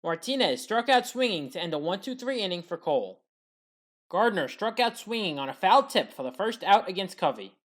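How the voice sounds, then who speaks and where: A woman with a mid-pitched voice narrates sports highlights from a script. She recaps plays in short, matter-of-fact phrases, as a commentator who hosts her own breakdowns.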